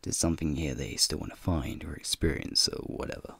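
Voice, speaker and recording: A man voicing a flirty, teasing male werewolf in an ASMR roleplay, with a deep, gravelly, husky voice and folksy slang. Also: steady tone, brisk, fairly bright tone, no room sound, studio quality, heavily acted. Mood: ominous, hushed. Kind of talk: ASMR roleplay, speaking to the listener.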